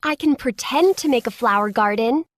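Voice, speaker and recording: A woman acts out a spoiled English girl of about ten in a storybook tale. The voice is high and very dry in sound. The manner is petulant, stubborn and bossy. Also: normal speed, dry recording, lightly acted. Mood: wondering. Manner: demanding, petulant. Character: selfish, sour, stubborn ten-year-old English girl. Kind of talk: children's storybook acting.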